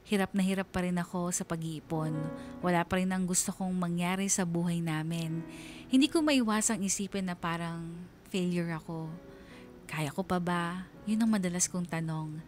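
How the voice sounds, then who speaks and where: A young woman, played by an actress, tells her story in a low voice over music. She is confessional and reflective, a regretful radio drama narrator full of self-doubt. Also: natural voice. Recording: music bed under the voice. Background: background music.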